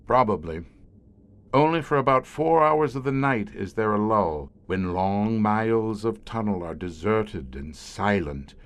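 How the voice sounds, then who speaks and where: An actor playing a grim, haunted police scientist who hunts monsters, telling it ornately and dramatically in an audio horror drama. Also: male voice, lowish voice. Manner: ornate, deliberate, dramatic storytelling with wry asides.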